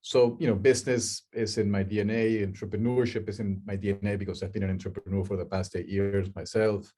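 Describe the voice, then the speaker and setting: A middle-aged man with a Peruvian Spanish accent gives a chatty talk over a video call. His voice sits a little low, and he restarts his sentences often while putting questions to the listeners. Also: level tone, brisk, dark tone, husky. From Peru. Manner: chatty, asks the audience questions, many restarts. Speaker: guest speaker, life coach. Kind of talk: webinar talk.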